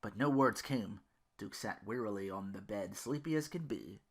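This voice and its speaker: A podcast host reading fan fiction aloud in an animated, expressive way. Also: sits low, normal speed. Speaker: host and voice performer.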